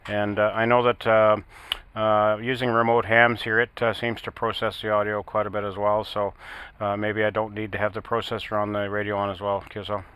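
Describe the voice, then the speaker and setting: A man with a bright, clear voice talks shop as a ham radio operator. His delivery is halting and matter-of-fact, with very little melody, in a casual technical style. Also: on the low side, little pitch movement. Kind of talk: casual technical radio chat with test counts.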